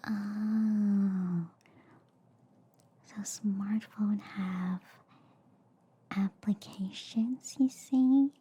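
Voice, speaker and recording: A woman voicing a friendly, slightly shady alien girl doctor in a whispered ASMR roleplay, soothing and hesitant with soft repeated reassurances. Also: mid-range voice, husky, exaggerated voice. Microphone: close ASMR microphone. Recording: faint background noise, close-up ASMR.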